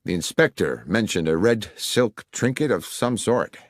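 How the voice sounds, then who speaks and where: A man's voice: on the low side, lively intonation, unhurried, no echo, studio quality. A man voicing a polite, unsettled Victorian railway official in an audiobook, courteous and somewhat flustered. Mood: courteous, baffled.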